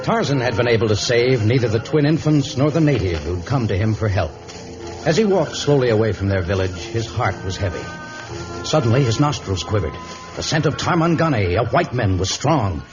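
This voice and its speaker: Guy doing dramatic old radio serial narrator, lively delivery, muffled, music behind. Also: medium pitch, a little fast, lightly acted. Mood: suspenseful. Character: dramatic male storyteller of a jungle adventure.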